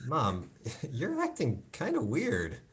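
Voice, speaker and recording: Casting: man as concerned adult son, earnest and coaxing yet exasperated, with swooping, lively intonation. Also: on the high side, slow, breathy edge, exaggerated voice. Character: concerned, exasperated grown son confronting his mother. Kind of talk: live play reading over video call. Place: indoors, on a video call.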